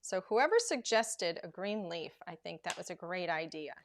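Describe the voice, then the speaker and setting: A woman hosting a live crafting demonstration, chatty and rambling with plenty of filler words, her voice going hoarse as she loses it. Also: on the low side, lively intonation, moderate pace, slight background noise. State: losing her voice. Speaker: craft show host.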